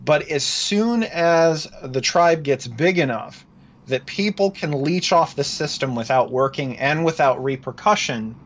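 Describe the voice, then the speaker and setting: Male commentator on a muffled lo-fi line, somewhat high voice, explaining points emphatically in casual conversation. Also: animated, a little slow. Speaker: talk show participant discussing politics. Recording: dull, lo-fi voice connection.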